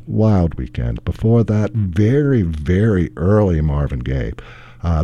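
Older guy on the radio, low warm voice, kinda hoarse, rambles on easy. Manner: rambling, chatty, easygoing storyteller. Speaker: radio DJ and show host. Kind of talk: casual radio patter between songs. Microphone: studio microphone.

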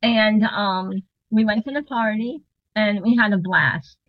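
A woman telling a long story in a casual interview, animated, acting out what people said and laughing often. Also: medium pitch, unhurried, no room sound, lo-fi sound. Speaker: interview guest, drummer and singer.

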